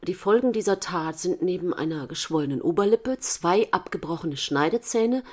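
A woman's voice: lowish voice, animated. Cultured, earnest mother, stiffly civil. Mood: formal, testy. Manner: measured, articulate, formally polite. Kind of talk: naturalistic stage-play dialogue.

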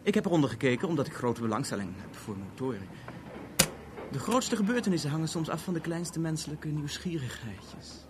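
Urbane, wry police officer, noisy recording. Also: male voice, a little high, sing-song. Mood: dryly ironic. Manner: measured, formal, wordy, dryly ironic. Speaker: radio drama actor. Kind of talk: 1970s Dutch radio drama acting. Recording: noisy old radio play recording.

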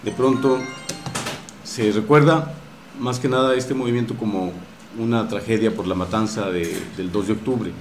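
Low-voiced Mexican man in a roomy space. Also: steady tone, normal speed, soft background music. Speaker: radio drama producer at a university station. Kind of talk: explanatory interview answers. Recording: roomy echo with faint background noise.

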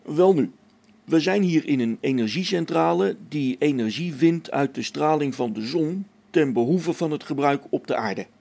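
A man's voice: fairly high pitch, gravelly, warm tone, husky, exaggerated voice. A man playing a practical, patient engineer on a space station in a science fiction radio play, explaining things matter-of-factly.

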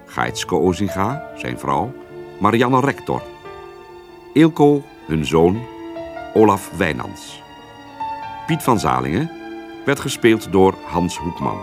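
Deep, slow male radio announcer over music. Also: vocal fry. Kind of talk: formal scripted credits reading.

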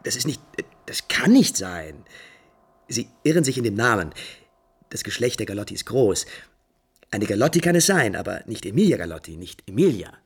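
A husky-edged young prince in a 1950s radio drama. He is imperious and lovesick, speaking emphatic with widely swinging pitch and theatrical diction. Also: big pitch swings, moderate pace, full character voice. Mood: agitated disbelief. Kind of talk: 1950s German radio play acting.

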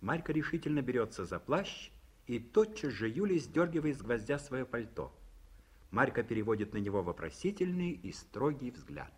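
Narrator, male, husky, lively delivery, old radio studio recording. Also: medium pitch, normal speed. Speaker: narrator reading stage directions. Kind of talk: 1950s radio play narration.

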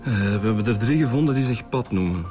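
An inquisitive Flemish police detective acted by a man with a fairly low voice, matter-of-fact in radio drama style. Music is clearly heard behind. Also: expressive, quick pace, natural voice.

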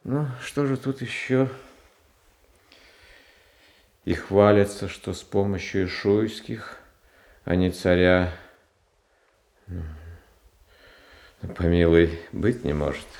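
A frail soft-hearted tsar, really slow and halting, plaintive, roomy echo, old-school radio tragedy. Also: male voice, deep voice, gravelly, exaggerated voice. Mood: troubled disbelief. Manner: halting, plaintive, hesitant phrasing. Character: gentle, naive, frail, pious Tsar, soft-hearted and pleading. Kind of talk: classical Russian stage tragedy in radio adaptation. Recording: roomy, echoing stage sound.

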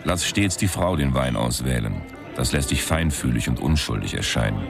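Radio noir lead: wisecracking self-styled tough guy, bottom-of-the-range bass, dry and deadpan, music underneath. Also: normal speed, gravelly, full character voice. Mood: deadpan narrating. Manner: laconic, deadpan, sardonic. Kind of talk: radio crime drama, first-person noir narration and dialogue.

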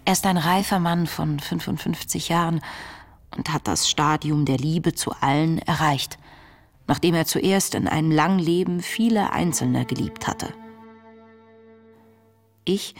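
An actress playing an earnest, introspective young woman reading her wartime diary in a radio play, measured and intimate. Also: low-pitched, gravelly, heavily acted. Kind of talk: radio drama diary monologue. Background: music.

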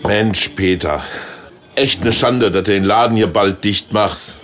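A man's voice: fairly low pitch, unhurried, fairly bright tone, soft background music. An actor playing a naively eager businessman in his sixties who turns politician, colloquial, in a radio play. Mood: melancholy, casual. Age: 60s.

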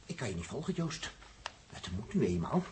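A man's voice: medium pitch, big pitch swings, normal speed, mellow, lightly acted. A man acting an indignant, questioning astronaut crewmate in a 1960s Dutch radio drama. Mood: indignant, puzzled. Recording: slightly noisy old recording, clicks.

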